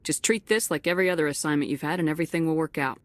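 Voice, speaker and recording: An actress playing a calm, authoritative female commander in a video game cutscene, speaking in a firm, clipped way. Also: low-pitched, very fast, no room sound, lightly acted.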